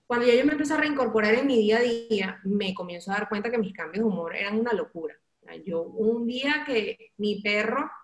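A woman with a Venezuelan accent speaks as a guest sharing her own story. Her delivery is candid, expressive and confiding, full of colloquial turns. Her pitch sits in the middle range for a woman, and the room adds a clear echo. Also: brisk, few pauses. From Valencia, Venezuela. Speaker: interview guest, radio and event host. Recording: roomy, echoing sound.

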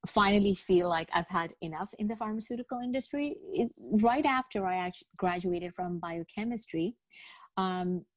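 A woman's voice: mid-range voice, normal speed, dry recording, rough recording. A middle-aged woman from Bangladesh, raised in the UAE, answering questions as a podcast guest. She speaks thoughtfully, with many fillers and repeated words. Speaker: guest, business professional. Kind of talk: podcast interview.

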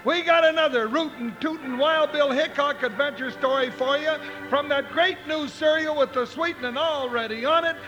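A man's voice: moderate pace, few pauses, highs cut off, music in the background. A man playing a jovial, fun-loving cowboy deputy sidekick in a western radio drama, with a folksy drawl and Old West slang. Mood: excited, hearty. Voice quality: high-pitched, folksy voice. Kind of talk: 1950s western radio acting.

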